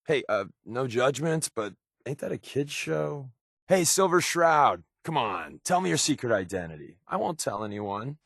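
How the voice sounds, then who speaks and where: Lively, wisecracking spy. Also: male voice, medium pitch, normal speed, vocal fry, no echo, studio quality, heavily acted. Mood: playful, teasing. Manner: casual, sardonic, deadpan quips. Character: wry, wisecracking, secretive male spy companion, paranoid. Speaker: video game voice actor. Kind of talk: game companion dialogue lines.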